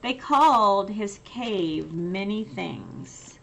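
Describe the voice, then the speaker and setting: A woman reads a children's story aloud in a mid-pitched voice with plenty of creak. Her delivery is extremely slow, expressive and emphatic. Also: low-quality recording. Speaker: picture-book reader.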